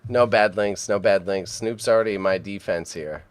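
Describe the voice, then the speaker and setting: A man's voice: fairly low pitch, moderate pace, clear, resonant tone. A Canadian man in his thirties, livestreaming from a room at home, muttering to himself as he edits photos and then chatting casually with viewers. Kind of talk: casual live-stream chat.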